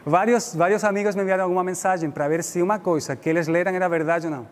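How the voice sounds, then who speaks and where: High-pitched Spanish-accented man lecturing in reverberant hall. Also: steady tone, very fast. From Spain. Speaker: guest lecturer. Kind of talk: public lecture, informal and conversational. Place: indoor lecture room. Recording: reverberant hall with background noise.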